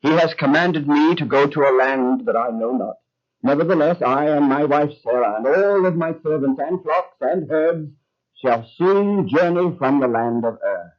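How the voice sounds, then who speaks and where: An actor playing a devout, resolute man of faith in a biblical audio drama, earnest, formal and measured. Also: fairly high pitch, lightly acted. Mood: earnest conviction. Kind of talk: biblical radio drama acting. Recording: dull lo-fi recording.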